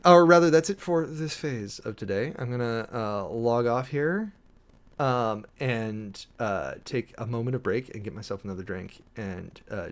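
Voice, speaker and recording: A man's voice: on the low side, creaky. A man giving casual, opinionated livestream commentary as the host, informal and emphatic, often repeating phrases for stress. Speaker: live streamer hosting a political commentary show.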